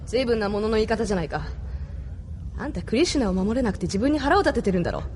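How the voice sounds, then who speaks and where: Woman playing a tough, quick-talking tomboy. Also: on the high side, animated, a little mellow, big-room sound, a little hiss or hum, lightly acted. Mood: scolding. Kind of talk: anime audio drama acting.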